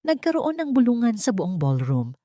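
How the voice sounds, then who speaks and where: A woman's voice: huge pitch swings, moderate pace, dark tone, no echo, studio quality. A woman with a Filipino accent narrating a dramatic audio story in the first person, lifting her voice for the characters' lines. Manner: rises in pitch and volume for quoted dialogue.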